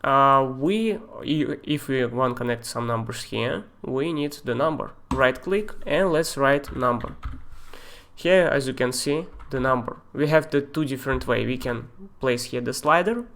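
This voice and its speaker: A man with a Russian accent and Russian as his first language, teaching a live-streamed screen tutorial in a halting way, with fillers and non-native grammar. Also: mid-range voice, creaky, a bit bright. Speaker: software tutor.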